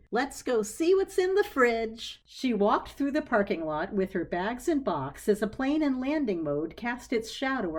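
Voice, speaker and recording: Animated woman narrating a wry story. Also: medium pitch.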